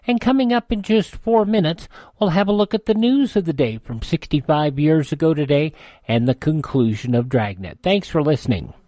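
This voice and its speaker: A close, dry studio sound frames an American man with a slightly high voice. He is a radio host with a chatty, folksy way of announcing and reading ads. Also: lively intonation, normal speed, dark tone, no echo. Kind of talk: conversational radio ad read and announcing.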